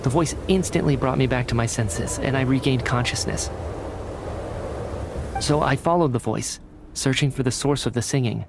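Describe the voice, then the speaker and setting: An actor playing an earnest young man in a video game cutscene, telling his story in a measured, dramatic way. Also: medium pitch, creaky, background noise, lightly acted. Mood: haunted, reflective. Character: earnest young man, a lost soul recounting his death.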